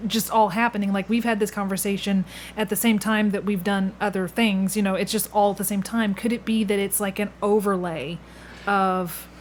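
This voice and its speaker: A woman's voice: mid-range voice, fairly even intonation, normal speed. A woman hosting a podcast, interviewing in a casual, conversational way and thinking aloud as she goes. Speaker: podcast host. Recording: clear background noise with room ambience.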